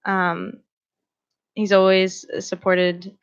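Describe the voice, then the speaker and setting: Chatty young woman singer, Greek first language, mid-pitched, slightly dull radio sound. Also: flat delivery, drawn-out words, clear, resonant tone. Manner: chatty, laughs often, many ums. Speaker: musician guest. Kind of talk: casual interview chat. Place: small radio studio in a church.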